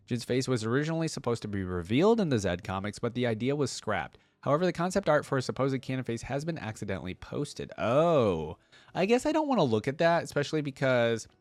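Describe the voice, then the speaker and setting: A man's voice: mid-range voice, no room sound. A man hosting a reaction video, reading aloud and musing casually with interjections.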